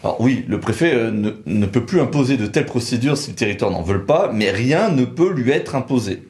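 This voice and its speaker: Male lawyer, precise technical explainer, medium pitch, noticeably roomy recording. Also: animated, fairly quick, darkish tone. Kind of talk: technical legal explainer discussion. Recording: roomy, echoing sound.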